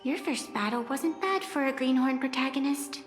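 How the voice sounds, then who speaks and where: A woman playing a mysterious, composed young female video game character, speaking in a measured, theatrical, knowing way. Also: high voice, expressive, faint music behind. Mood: teasing, knowing.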